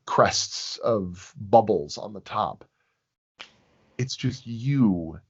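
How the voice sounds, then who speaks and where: A man telling stories casually in a conversation, with a reflective, halting way of phrasing things. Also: low-pitched, lo-fi sound. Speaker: podcast guest.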